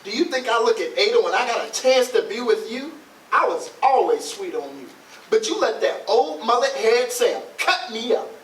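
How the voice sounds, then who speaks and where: A man acting a flirtatious, cajoling man in a stage play, with an animated, sweet-talking manner. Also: very high voice, moderate pace, full character voice. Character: flirtatious, cajoling Southern man on a train outing. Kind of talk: readers theatre stage acting. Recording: echoey, distant, noisy ambience.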